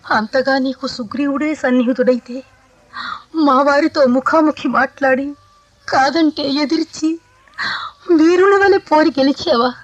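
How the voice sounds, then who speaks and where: A woman's voice: high voice, close to an everyday voice. A woman acting a grief-stricken, reproachful widowed queen in a 1980s radio mythological play, with theatrical, declamatory delivery. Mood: bitter reproach. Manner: theatrical, drawn-out lamenting delivery. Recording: dull old radio recording with music.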